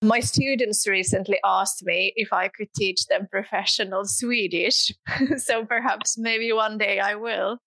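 Unhurried woman host, Russian native. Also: mid-range voice, fairly bright tone, no echo, low-quality recording. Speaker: language teacher and podcast host. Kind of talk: podcast hosting and interview.

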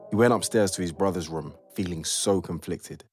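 A man's voice: low-pitched, moderate pace, breathy edge, dry recording, soft background music. A man performing as the composed narrator of an audio drama, telling the story from a script.

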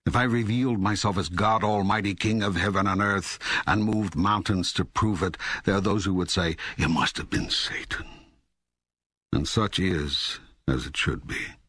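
Very deep, authoritative God. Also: male voice, fairly bright tone, husky, no room sound. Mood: emphatic, patient. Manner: deliberate, emphatic, didactic. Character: God, patient, authoritative, all-knowing male voice. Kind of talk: audiobook dialogue narration.

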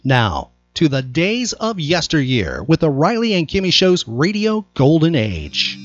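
A man's voice: a little high, huge pitch swings, unhurried, no echo, lo-fi sound, soft background music. A man delivering a scripted podcast intro as an announcer.

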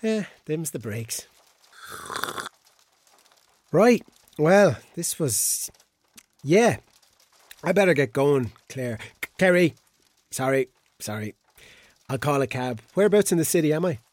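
A man's voice: on the high side, animated, slow, long pauses, a little mellow, no room sound. An actor with an Irish accent playing a hungover, bewildered, flippant ladies' man in a radio drama, talking in an awkward, colloquial way. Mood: uneasy, flustered.

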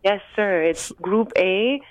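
A woman's voice: medium pitch, takes it slowly, no room sound. A woman from the United States, an activist guest, explaining things earnestly and methodically in an interview over the phone. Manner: earnest, methodical, lays out points in groups. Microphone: phone line. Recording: tinny phone line.

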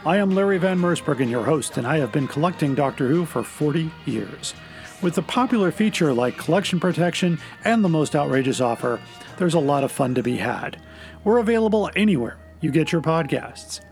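A man's voice: a little high, animated, fairly quick, darkish tone. A middle-aged man reading a scripted podcast promo as its host, with music playing behind him. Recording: music bed under the voice.

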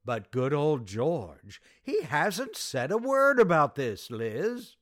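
An actor playing a suave, excitable man about town in a radio sitcom, theatrical and emphatic. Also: fairly high pitch, slow, dry recording, clean studio sound, exaggerated voice. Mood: concerned.

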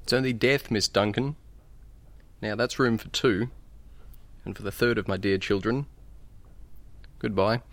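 A man playing a desperate, grieving expectant father with quiet resolve in an audio drama. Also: fairly low pitch, steady tone, measured pace, long pauses, a little hiss or hum. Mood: grimly resolved.

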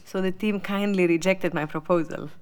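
A woman giving a personal spoken reflection in a reflective, measured manner. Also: fairly low pitch, steady tone, clear, resonant tone. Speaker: exhibition contributor.

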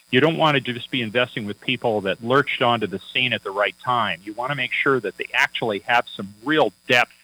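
A man's voice: mid-range voice, bright, forceful tone, poor audio. A man working as a financial advisor, chatting on a radio talk show in a folksy, anecdotal way with many fillers and restarts.

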